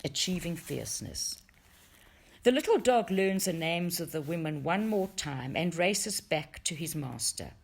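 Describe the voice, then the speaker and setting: A woman narrates a book aloud in a low voice. Her intonation swings widely, and she places deliberate, expressive emphasis on key words as she reads. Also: vocal fry, breathy edge. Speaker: audiobook reader for a study group.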